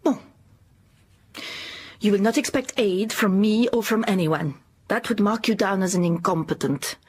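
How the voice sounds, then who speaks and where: Very husky, imperious French headmistress. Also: female voice, mid-range voice. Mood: stern, challenging. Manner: clipped, commanding, measured. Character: imperious, shrewd, cold French-speaking headmistress, middle-aged. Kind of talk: period radio drama acting.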